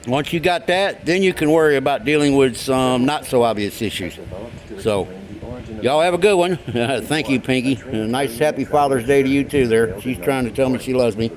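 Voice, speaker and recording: A man's voice: medium pitch, normal speed, a crowd in the background, rough recording. A middle-aged American man giving a casual hands-on explanation in a folksy, informal way. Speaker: hobbyist giving radio tips. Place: indoors at a wooden workbench.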